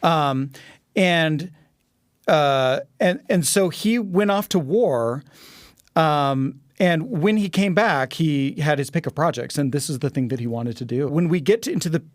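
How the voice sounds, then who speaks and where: A thoughtful man guesting on a film podcast lays out his points in a measured, analytical way. His voice sits a touch high and sounds dry and close. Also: dry recording. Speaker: podcast guest, audio drama producer. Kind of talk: casual film discussion.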